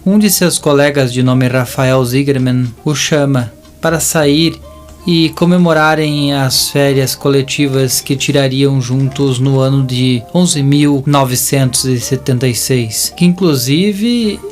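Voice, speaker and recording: A man with a Brazilian accent narrating a scripted audio drama as a deliberate storyteller who addresses listeners. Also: mid-range voice. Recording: voice over a music bed.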